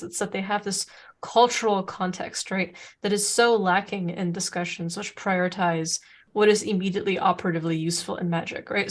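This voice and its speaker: A woman co-hosting a podcast in casual chat, rambling with many restarts, whose first language is Serbo-Croatian. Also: medium pitch. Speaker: podcast co-host.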